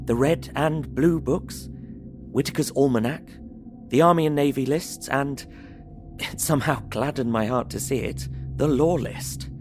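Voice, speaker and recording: A man's voice: mid-range voice, lightly acted. An actor playing a polite, earnest young English solicitor narrating his journal in an audio drama with formal, precise diction. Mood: delighted, intrigued.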